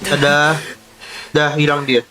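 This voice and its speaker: A woman's voice: sits low, flat delivery, a little slow, over music, low-quality recording. A woman with an Indonesian accent giving informal, amused gameplay commentary in short reactions. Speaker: game streamer.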